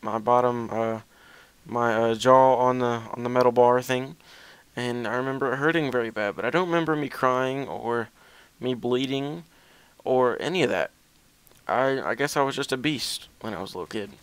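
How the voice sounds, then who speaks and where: A man's voice: medium pitch, normal speed, clean tone, no room sound. A young man telling a casual, rambling story as gaming commentary, with a hesitant, self-deprecating way of talking.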